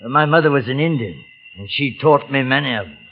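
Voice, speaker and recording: An actor in an old radio drama playing a soft-spoken, lonely middle-aged man who lives deep in the jungle, with a stammering, chuckling, quietly menacing manner. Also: animated, fairly quick, lightly acted. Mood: gentle. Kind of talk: 1940s radio acting. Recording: muffled old radio broadcast, faint hiss.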